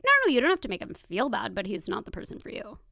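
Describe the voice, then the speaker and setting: Young American female, teasing and giggly, heavy vocal fry, informal friend-to-friend banter. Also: medium pitch, very animated, fast talker, sharp tone. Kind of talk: casual chat between friends.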